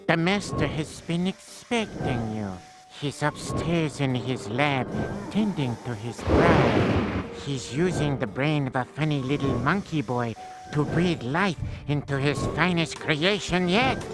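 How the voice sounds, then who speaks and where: Slow, gloomy haunted-house manservant. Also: male voice, fairly high pitch, animated. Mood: ponderous. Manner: ponderous, formal. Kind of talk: cartoon game voice acting. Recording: loud music behind the voice.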